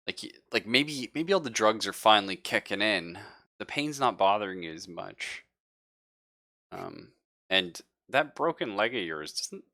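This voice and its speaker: A man running a tabletop roleplaying game as game master, narrating casually and haltingly with informal, sweary wording. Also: on the low side, gravelly, sharp tone, no room sound, studio quality.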